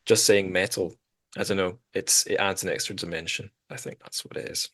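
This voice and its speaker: Low-voiced man hosting a book podcast. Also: steady tone, fairly quick, fairly bright tone. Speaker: podcast host. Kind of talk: casual literary discussion.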